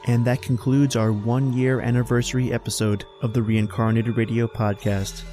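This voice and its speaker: A man's voice: mid-range voice, flat delivery, moderate pace, warm tone, faint music behind. A man reading a scripted outro as a podcast host.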